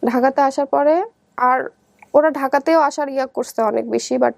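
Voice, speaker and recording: A young adult woman with a Bangladeshi accent telling her life story in conversation, halting and often repeating herself. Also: high-pitched, creaky, no echo.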